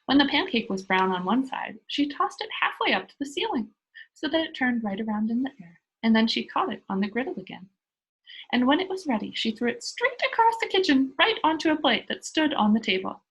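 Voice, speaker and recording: A woman reading a children's storybook aloud in an expressive narrating style. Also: fairly high pitch, fairly quick, husky, rough recording. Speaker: storybook reader.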